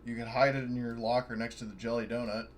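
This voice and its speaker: A man's voice: mid-range voice, flat delivery, quick pace. A man playing a tabletop game, rambling with lots of fillers and restarts. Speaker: player. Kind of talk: casual tabletop game chat.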